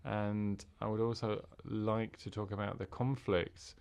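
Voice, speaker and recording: A man interpreting a formal speech into English, halting, with fillers and restarts. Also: lowish voice, flat delivery, vocal fry, a little mellow, clean tone.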